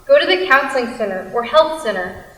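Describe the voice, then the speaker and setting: High, bright woman reciting in echo. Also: expressive, fairly quick. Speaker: student performer in readers theater. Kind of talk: scripted ensemble recitation. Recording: echoey room.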